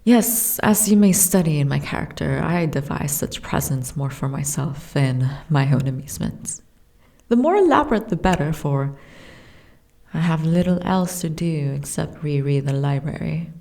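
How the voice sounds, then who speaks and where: A woman playing a gentle, refined, weary giantess princess in a fantasy ASMR roleplay, with soft, genteel, old-fashioned diction. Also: sits low, animated, normal speed, vocal fry. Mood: stately, reflective.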